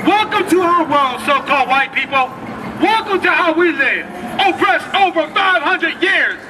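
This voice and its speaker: Shouting high-voiced man preaching outdoors. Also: expressive, measured pace. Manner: loud, confrontational, addresses passersby directly. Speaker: street preacher. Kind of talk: street preaching. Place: outdoor city street corner. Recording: outdoor street with crowd and traffic noise.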